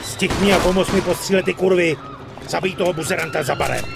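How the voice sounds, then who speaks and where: A man's voice: sits high, gravelly, heavy background noise. An actor playing a hot-headed, foul-mouthed mobster in his thirties, with coarse, blunt delivery in a dubbed crime game. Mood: furious. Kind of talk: dramatic dubbed video game acting.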